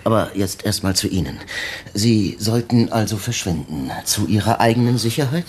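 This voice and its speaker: Actor as shrewd, low-voiced police inspector. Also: male voice, lively intonation, moderate pace, darkish tone, slight background noise, lightly acted. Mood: probing. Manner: probing, explains step by step, prodding questions. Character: shrewd, world-weary male police inspector, probing and insistent. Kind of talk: German radio crime drama acting.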